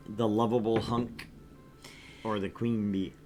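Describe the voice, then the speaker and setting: A man's voice: fairly low pitch, little pitch movement, a little slow, warm tone, faint music behind. A young man co-hosting a casual let's-play stream, laid-back and joking at his own expense. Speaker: game streamer co-host.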